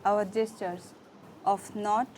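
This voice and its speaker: A woman facilitating a symposium session, talking loosely and reflectively with pauses. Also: mid-range voice, little pitch movement, darkish tone, a little hiss or hum. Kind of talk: casual talk at a gathering.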